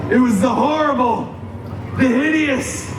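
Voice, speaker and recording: A man's voice: very high-pitched, measured pace, recorded from a distance, heavy background noise. A man playing a campy, self-absorbed wisecracking antihero, telling stories theatrically with sarcastic asides in a live comic stage show. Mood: melodramatic. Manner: theatrical, mock storybook delivery with sarcastic asides. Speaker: costumed theme park stage performer. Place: outdoor theme park stage, front-row recording. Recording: echoey outdoor stage, loud music, crowd.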